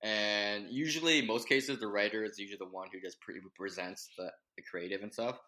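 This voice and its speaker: A reserved young man speaking in a monotone as a guest in a casual podcast chat. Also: on the low side, a little fast. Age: young adult.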